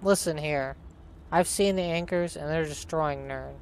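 A man's voice: fairly high pitch, unhurried. Casual male let's play narrator. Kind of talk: narrated game playthrough, reading player dialogue. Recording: faint game ambience and noise. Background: game ambience.

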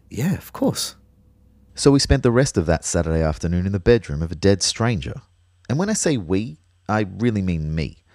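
An actor playing a reflective, concerned young man, telling his story in a measured, understated way in an audio drama. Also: low-pitched, a little mellow, no echo, clean studio sound. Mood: concerned, hesitant. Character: reflective, concerned young boyfriend, a fitness fanatic. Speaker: narrator and lead character. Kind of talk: first-person audio drama narration and dialogue.

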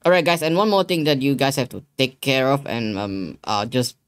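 A young man talks a viewer through software settings in a casual, halting way. His mid-range voice circles back over phrases as he explains each step. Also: medium pitch, smooth. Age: young adult. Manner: repetitive, halting explanations with non-native English phrasing. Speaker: tutorial host. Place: living room gaming setup at home.

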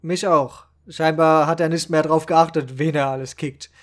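A man's voice: on the high side, fairly even intonation, normal speed. A man telling a comic first-person gaming story in colloquial, slangy language. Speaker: storyteller and channel creator.

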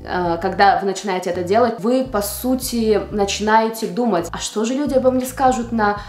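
A woman's voice: medium pitch, fairly quick, darkish tone, faint music behind. A woman in her twenties from the Russian Far East, a beauty vlogger chatting casually to camera in a room at home. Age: 20s. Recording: roomy indoor echo.

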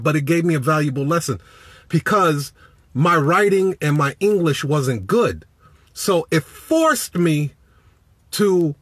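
Animated man talking in his car. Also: on the high side, husky, no echo. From Chicago, United States. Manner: animated, preachy, greets viewers by name. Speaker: business coach. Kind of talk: live-stream motivational talk. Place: inside a parked car. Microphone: phone at arm's length.

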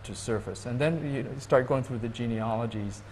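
A man from Hawaii, a guest in an interview, speaking thoughtfully and haltingly with many restarts. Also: mid-range voice, a little mellow, slight background noise. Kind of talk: reflective interview talk.

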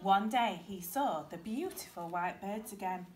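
A woman's voice: medium pitch, animated, a little slow, darkish tone. A woman teacher reads a children's story aloud in a classroom. She tells it expressively, picked up by a camera a short distance away with faint background noise. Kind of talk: expressive picture-book storytelling. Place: primary school classroom, indoors.

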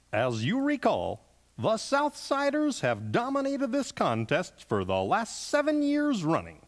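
Man doing a slow, dramatic puppet sportscaster. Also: high voice, heavily acted. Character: dramatic male sports reporter puppet, grandly earnest. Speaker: sports reporter. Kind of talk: mock sports newscast. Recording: long hall reverb, music behind.

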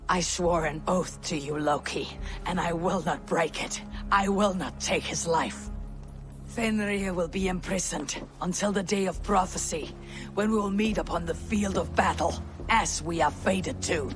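A woman voicing a defiant, cunning Norse god in a fantasy video game, grave and measured. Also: fairly low pitch, music in the background, lightly acted. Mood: grave, resolute.

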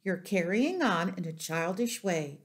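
A woman voicing a composed, motherly female spider in a storybook, brisk and firm. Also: fairly low pitch, expressive. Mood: brisk, reassuring.

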